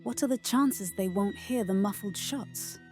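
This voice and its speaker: A woman playing a wary occultist investigator in an audio drama, with a measured, questioning manner. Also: medium pitch, warm tone, faint music behind, natural voice. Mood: skeptical, measured.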